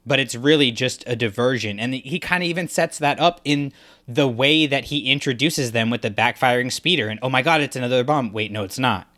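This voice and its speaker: Bright, emphatic male podcast co-host. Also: medium pitch, brisk. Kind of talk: podcast discussion, fan analysis.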